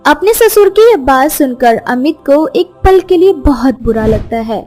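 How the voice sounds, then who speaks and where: A woman narrator reads a scripted moral story. Her voice is clearly high-pitched, and faint music plays behind her. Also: mellow.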